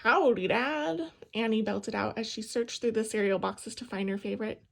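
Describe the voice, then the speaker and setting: A woman reading a children's picture book aloud in an expressive storytelling style. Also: medium pitch, brisk, vocal fry. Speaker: storybook reader.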